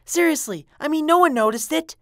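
A woman's voice: high voice, expressive, fairly quick, no room sound, clean studio sound. A woman voicing an irritable, ranting young pony in a parody cartoon, sarcastic and exasperated.

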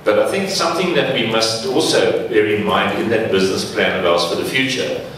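A man speaking as a panelist, explaining things in a measured, matter-of-fact way. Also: on the low side, very animated, a bit bright. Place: large lecture hall. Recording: echoey hall, distant, noisy.

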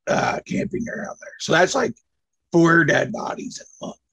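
A man's voice: a little high, huge pitch swings, moderate pace, gravelly, sharp tone, breathy edge. A man chatting casually on a call, mostly giving short replies and speaking hesitantly. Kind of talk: casual chat.

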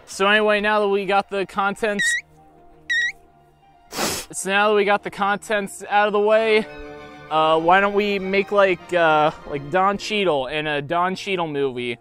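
A young man rambling through a toy unboxing outdoors by a field, joking deadpan and halting with lots of fillers. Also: high voice, over music. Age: young adult. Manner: rambling, self-mocking, halting with many fillers. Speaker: YouTuber unboxing toys. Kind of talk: jokey deadpan unboxing vlog. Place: outdoors by a field under trees. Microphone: lapel mic.

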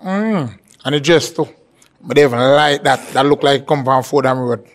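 A man's voice: mid-range voice, normal speed. A young man, a dating show contestant, talking in a laid-back, teasing way on a handheld mic. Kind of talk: casual chat.

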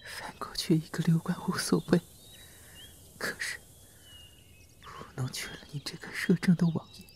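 A man's voice: fairly high pitch, steady tone, moderate pace, gravelly, breathy edge, exaggerated voice. An actor plays a frail young servant boy in a Chinese period radio drama. He is devoted and dying, gasping tearfully in a light, weak whisper. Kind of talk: Chinese historical audio drama acting.

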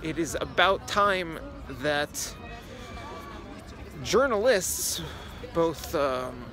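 Young man, walking vlog, phone at arm's length, outdoors, city square, loud festive music. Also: on the high side, expressive, drawn-out words, a crowd in the background. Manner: chatty tour-guide narration to camera. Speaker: vlogger. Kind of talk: casual walk-and-talk vlog. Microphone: phone camera at arm's length.

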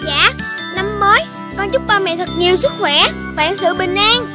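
Casting: earnest little dog boy, extremely high voice, animation, loud music bed. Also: moderate pace. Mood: cheerful. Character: polite, earnest young Dalmatian puppy boy. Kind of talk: children's cartoon dubbing. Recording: children's music behind the voice.

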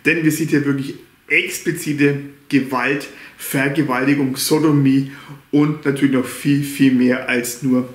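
A man's voice: mid-range voice, roomy sound. A man in his forties giving a casual, rambling film review from his living room, repeating himself and stumbling over names. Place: living room at home, indoors. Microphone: camera on a stand in front of an armchair.

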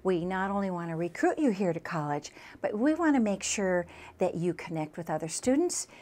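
A woman's voice: fairly low pitch, lively intonation, normal speed, a little mellow. A woman speaking as a college instructor, explaining a program in an emphatic, promotional way. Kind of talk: explanatory promotional talk about a class.

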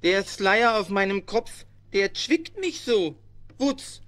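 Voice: mid-range voice, lively intonation, takes it slowly, a bit bright. An actor playing a complaining monitor lizard in a children's radio play. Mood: whiny. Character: whiny monitor lizard reluctantly dressed as a bride.

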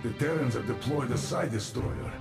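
An actor playing a grim, infested military commander giving an urgent briefing in a video game. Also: male voice, medium pitch, moderate pace, creaky, natural voice. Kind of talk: video game cutscene acting. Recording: echoing game audio under loud music.

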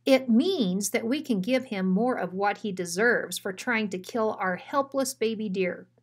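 A woman's voice: mid-range voice, unhurried, close to an everyday voice. A cocky, bossy dog from a children's storybook, read by a woman. He gives orders with emphatic self-assurance. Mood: cocky, commanding.

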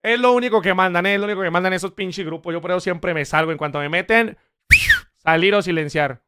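A man's voice: high voice, fast talker, sharp tone, clear, resonant tone. A Mexican man streaming, reacting to clips in joking, crude casual commentary.

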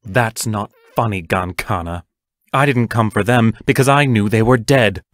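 Very deep, stern, protective voice of an actress. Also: female voice, moderate pace, dry recording, clean studio sound. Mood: stern, urgent. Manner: terse, commanding. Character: stern, protective, anguished adult teacher facing fairies. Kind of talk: audio drama acting.

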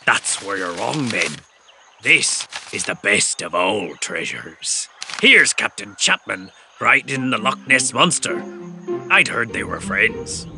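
An actor playing Captain John, a jolly bearded pirate captain, in a cartoon with music behind. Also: male voice, fairly low pitch, big pitch swings, takes it slowly, gravelly, exaggerated voice. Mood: hearty.

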